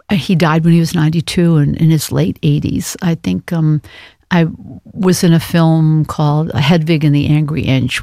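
An older woman from Maine, speaking as an interview guest, thoughtful and hesitant with many pauses. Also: sits low, vocal fry, warm tone, dry recording, studio quality. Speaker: guest, actress. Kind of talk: reflective interview talk.

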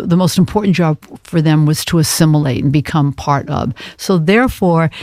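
An older woman from Maine talks as an interview guest, her voice gravelly and textured. She reflects thoughtfully, pausing often and searching for words. The recording is close and dry. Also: low voice, a little mellow, no room sound. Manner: hesitant, thoughtful, many pauses and fillers. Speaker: guest, actress.

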